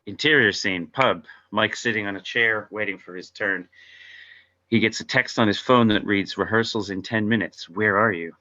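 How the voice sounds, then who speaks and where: A man's voice: lowish voice, moderate pace, bright, forceful tone. A man narrating scene headings and stage directions in a scripted table read. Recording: dull lo-fi audio.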